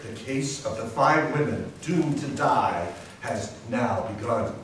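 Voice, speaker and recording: A man's voice: mid-range voice, lightly acted. A man playing a determined young lawyer, narrating a case in emphatic, declarative readers theater style. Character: determined young male lawyer fighting for sick workers. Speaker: community theatre actor. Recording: very echoey room, distant mic, faint noise.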